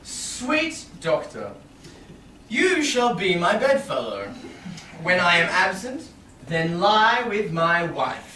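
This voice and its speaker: A woman's voice: fairly low pitch, big pitch swings, slow pace, bright, forceful tone, full character voice. A woman playing an earnest, contrite young husband in a Shakespeare staged reading, declaiming emphatically from a stage. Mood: amazed, fond. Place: small theatre stage with audience. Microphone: far camera in the audience. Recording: roomy, distant, noisy theatre recording.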